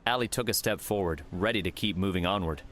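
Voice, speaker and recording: A man's voice: deep voice, fast, a bit bright. A man reading scripted audiobook narration as the storyteller.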